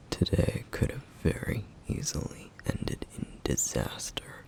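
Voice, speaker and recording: A man playing a brooding, protective flame demon in an ASMR roleplay, speaking in a grave, breathy, gravelly near-whisper with deliberate pauses. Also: lightly acted. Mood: concerned, weary. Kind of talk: ASMR roleplay, intimate scripted monologue.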